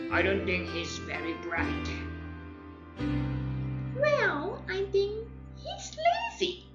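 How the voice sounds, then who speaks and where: A woman voicing opinionated, fussy crayon gossips in a children's storybook, with brisk, exaggerated, judging delivery. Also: fairly low pitch, echoey room, dull audio, exaggerated voice. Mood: critical, doubting.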